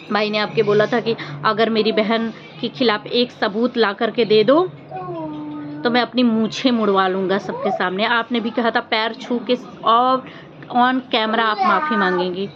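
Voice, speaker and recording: A woman giving informal opinion commentary to her viewers, speaking in an earnest, reproachful, emphatic manner with rhetorical questions. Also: fairly high pitch. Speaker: vlogger commenting on social media controversy. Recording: muffled lo-fi audio with faint noise.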